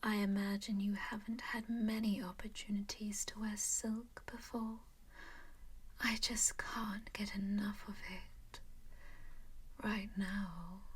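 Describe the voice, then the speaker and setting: A woman voicing a composed, doting female vampire noble in a whispered roleplay, gently coaxing and possessive. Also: mid-range voice, flat delivery, slow pace, husky. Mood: soothing whisper. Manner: soft whisper throughout; gently possessive and coaxing, calls listener darling and dear.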